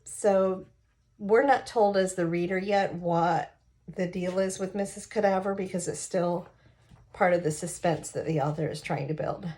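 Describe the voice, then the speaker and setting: A woman reading a novel aloud expressively, pausing now and then to explain it to listeners. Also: fairly low pitch, darkish tone.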